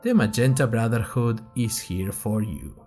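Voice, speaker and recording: A man playing a persuasive secret-brotherhood recruiter in a parody advertisement, mock-grave like a pitchman. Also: a little slow, over music, lightly acted. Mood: persuasive, mock-solemn.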